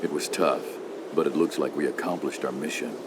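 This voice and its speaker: A man's voice: rumbling low, a little fast, heavy background noise. An actor playing a cocky, short-tempered male ninja agent in a dubbed video game, bossy and emphatic. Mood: smug, controlled. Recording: clear background sound effects and ambience.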